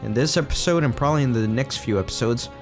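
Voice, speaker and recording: A man's voice: medium pitch, fast, music in the background. A man getting over a cold, giving casual, chatty, rambling commentary while playing an online game. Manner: chatty, rambling, self-correcting. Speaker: let's play gamer.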